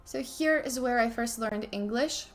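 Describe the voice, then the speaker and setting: A woman's voice: on the high side, unhurried, faint music behind. A young woman from Ukraine chatting casually on a stream, often restarting sentences and slipping in asides to herself. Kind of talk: casual chat while playing chess.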